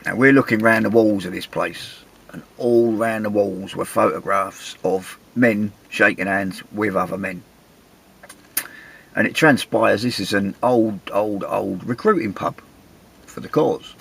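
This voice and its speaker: An English man, middle-aged, with a gravelly, husky voice, telling a travel story in a chatty, wry, self-mocking way. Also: lowish voice, a little slow, a bit bright, low-quality recording. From England. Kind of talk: casual anecdotal storytelling.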